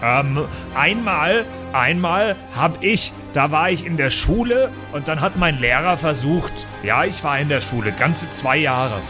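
A man's voice: fairly high pitch, expressive, over music. A man playing an eager, bragging young dwarf nephew who stumbles and repeats himself in an improvised fantasy audio play. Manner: halting, rambling, repeats himself.

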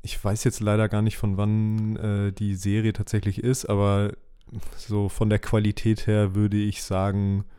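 A male podcast host with a lowish voice and a dark, relaxed tone. He talks casually, as in an easy conversation. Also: unhurried, clear, resonant tone. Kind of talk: casual chat.

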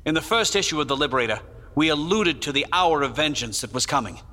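19th-century abolitionist publisher, solemn, declamatory, fiery, sharp bright tone, long reverb, dramatized news report. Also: male voice, fairly high pitch, expressive, quick pace. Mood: grave, vindicated.

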